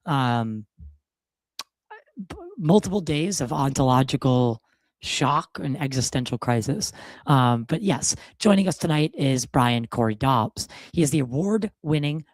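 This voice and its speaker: Gravelly, hoarse-ish male podcast host. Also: medium pitch, animated, a little slow, no room sound. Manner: loose and profane, lots of fillers. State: a bit hoarse from a cold. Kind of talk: casual podcast chat.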